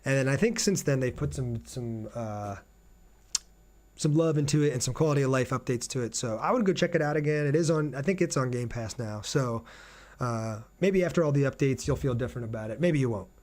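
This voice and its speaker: A male game streamer with a medium-pitched voice chats casually to his viewers. He talks through things in an informal, conversational way, reading comments and replying as he goes. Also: brisk, darkish tone. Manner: reads viewer comments aloud and answers them. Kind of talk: casual livestream chat over gameplay.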